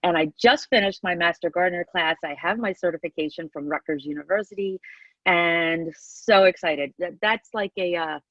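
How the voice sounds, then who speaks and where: A woman's voice: fairly low pitch, moderate pace, a bit bright, no echo. Woman, Southern New Jersey accent, 50s, chatty presenter, video call audio, muffled, dry. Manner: chatty, laughs often, restarts. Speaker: guest speaker. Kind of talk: casual talk, online presentation.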